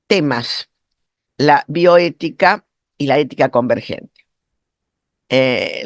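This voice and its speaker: A man lectures to a university seminar in a fairly high male voice, recorded with little room sound. He explains step by step, halting and rewording as he goes. His throat is sore, so speaking takes some effort. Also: a bit bright, dry recording, clean studio sound. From Argentina. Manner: didactic, halting, often rephrasing and checking understanding. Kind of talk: academic lecture, spoken off the cuff.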